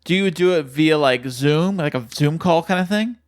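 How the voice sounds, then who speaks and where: A man's voice: on the high side, moderate pace. A man co-hosts a casual podcast, asking curious questions and cracking jokes with a few hesitant fillers along the way. His voice is dry and close-miked, with no echo around it. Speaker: podcast co-host.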